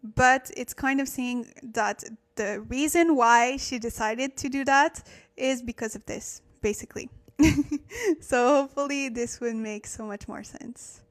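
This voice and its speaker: A woman's voice: fairly high pitch, measured pace. A woman whose first language is French, speaking English while hosting a casual livestream Korean lesson, thinking aloud with fillers and self-corrections. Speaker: livestream host teaching Korean.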